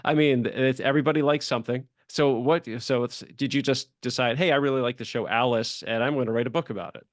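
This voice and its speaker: A man's voice: mid-range voice, steady tone, fast, hardly any pauses, no room sound, studio quality. A man hosting a casual interview, chatting in a rambling, loosely worded way. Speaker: podcast host interviewing a guest.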